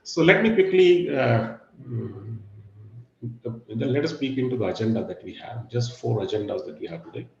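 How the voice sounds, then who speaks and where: A middle-aged man with a husky, low voice giving an online guest lecture, pausing often with fillers and "okay". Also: lively intonation, darkish tone, reverberant, highs cut off, a little hiss or hum. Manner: hesitant, many fillers and "okay". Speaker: guest speaker. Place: video call.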